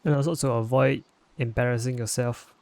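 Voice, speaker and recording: A young man with a gravelly, voice, chatting as a podcast co-host. He talks in a relaxed way and often stumbles and restarts. The sound is close and dry. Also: mid-range voice, fairly quick, dry recording. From Malaysia. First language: Chinese. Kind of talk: casual chat.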